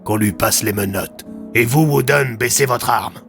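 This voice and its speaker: A man voicing a grave, authoritative police commissioner in an audio story, measured and solemn. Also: mid-range voice, gravelly, fairly bright tone, faint music behind. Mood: commanding.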